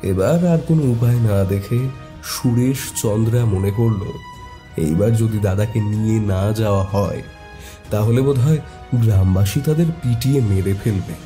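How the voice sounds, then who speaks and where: A man narrating a scripted horror story in a suspenseful, deliberate, dramatic way. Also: fairly low pitch, warm tone, soft background music.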